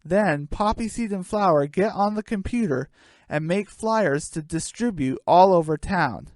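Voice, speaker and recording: Animated woman narrating a radio story. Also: lowish voice, measured pace, dry recording. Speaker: narrator of a comic radio play.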